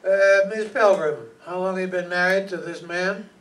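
A man's voice: high voice, fairly even intonation, moderate pace, a bit bright. An older man acting a wry, hardboiled private detective in a stage radio play, narrating and questioning. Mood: confident. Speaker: amateur radio play actor. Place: small hall stage, indoors. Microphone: stand microphone, recorded by far camera. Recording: noisy, distant hall PA recording.

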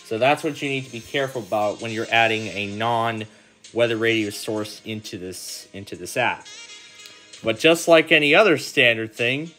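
Guy doing a casual how-to, kinda thinking out loud as he goes, bit of music behind. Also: mid-range voice, moderate pace. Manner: thinks aloud while doing steps. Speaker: tutorial host. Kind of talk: casual hands-on app demonstration.

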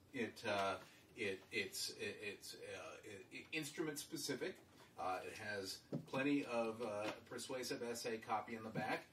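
A middle-aged man hosting casually from his living room, rambling and halting, with many fillers and restarts. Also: on the high side, a bit bright, slight background noise. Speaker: host. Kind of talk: casual chat. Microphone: far camera. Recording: echoey room from a distance.

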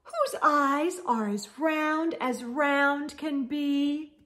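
A middle-aged woman hosting a children's storytime, reading aloud expressively with drawn-out words and gentle asides. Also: high-pitched. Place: indoor room lined with bookshelves.

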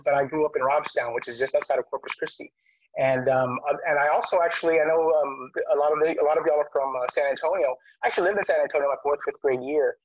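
A middle-aged man from South Texas, telling his story as an author on a video call, animated and full of restarts. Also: on the high side, breathy edge, no echo. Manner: animated, talks fast with many restarts. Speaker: author guest. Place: home room with virtual background. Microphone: webcam on a video call. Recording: dull lo-fi video call audio.

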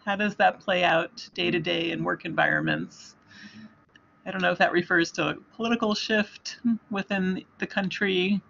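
Gravelly moderator reading questions. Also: medium pitch, steady tone, moderate pace, a bit bright, highs cut off, slight background noise. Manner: brief, reads questions aloud. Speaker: webinar moderator.

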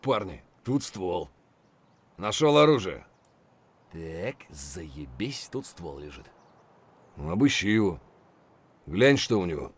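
An actor playing a gruff, wary, foul-mouthed male mercenary soldier in terse video game voice lines. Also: low voice, very animated, slow pace, pauses often, a crowd in the background, heavily acted. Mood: searching, terse.

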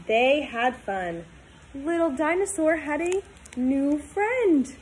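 A woman in her twenties reading a storybook aloud to young children, talking in simple, sing-song, animated phrases. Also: high-pitched, slow, slight background noise, rough recording. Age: 20s. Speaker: teacher reading aloud to pupils.

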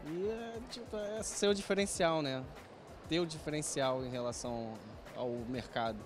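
Relaxed young Brazilian man. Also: on the high side, moderate pace, music in the background. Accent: Brazilian Portuguese. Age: young adult. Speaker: spa client interviewed. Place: treatment chair at a trade fair. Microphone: handheld mic.

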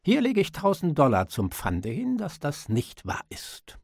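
A cool, mocking gold-rush tycoon in an audiobook, acted out by a male narrator. The voice is lifted well up in pitch, with a sneering, challenging edge and a dry, close sound. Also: huge pitch swings, measured pace, vocal fry, slightly rough, dry recording, full character voice.

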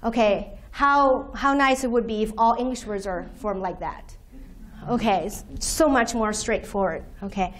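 A woman whose first language is Chinese, giving a university lecture from a podium and explaining terms slowly with many "okay"s. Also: a little high. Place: lecture room, standing at podium by projector screen.

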